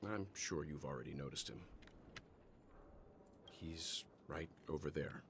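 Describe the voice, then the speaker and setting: A man voicing a calm, thoughtful middle-aged gentleman investigator in a video game, speaking in a measured, formal way. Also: a bass voice, noisy background. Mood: composed. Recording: music behind the voice. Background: game music.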